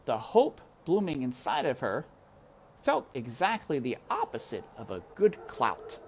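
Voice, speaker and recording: A woman reading a children's book aloud with expressive, animated narration and casual asides to her listeners. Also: very deep voice. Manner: animated, addresses listeners as friends. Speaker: read-aloud storyteller. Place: outdoors in wind. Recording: windy outdoor ambience noise.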